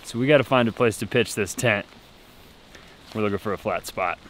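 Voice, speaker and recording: A man's voice: fairly low pitch, fairly quick, loud music behind the voice, rough recording. A young man chatting upbeat to a handheld camera on a jungle trip. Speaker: vlogger. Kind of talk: casual travel vlog chat. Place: outdoors in a rainy jungle and inside a tent. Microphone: handheld camera at arm's length.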